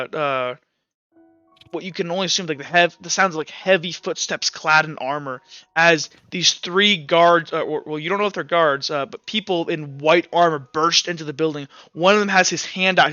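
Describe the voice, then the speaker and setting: A man's voice: a little high, pushed and bright, clean tone, no echo, lo-fi sound. A young man narrating a tabletop role-playing session as game master, with many fillers and restarts. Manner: halting, filler-heavy narration.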